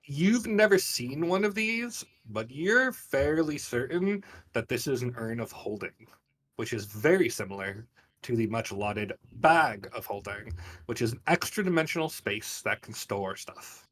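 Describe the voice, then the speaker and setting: A man's voice: medium pitch, animated, a little slow, fairly bright tone, slight background noise. Man narrating as a game master. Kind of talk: narrating a tabletop game, part scripted description.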